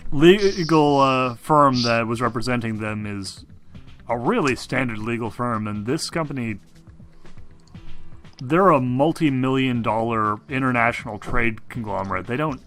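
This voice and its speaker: Bright-voiced man running a tabletop game. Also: medium pitch, measured pace, soft background music. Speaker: game master. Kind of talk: improvised narration of a tabletop game.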